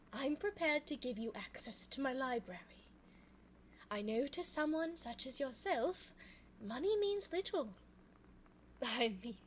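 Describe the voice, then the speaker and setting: A woman playing an elegant, cunning vampire in an ASMR audio roleplay, speaking formally and coaxingly in a soft voice close to the microphone. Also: high voice, a little hiss or hum, full character voice, rough recording. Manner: formal, genteel, slow, coaxing. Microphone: close binaural microphone.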